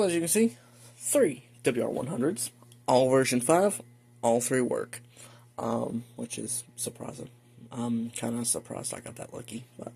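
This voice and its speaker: Gravelly man, casual hobby video host. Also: medium pitch, darkish tone. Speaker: video host, radio collector. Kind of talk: casual showcase narration.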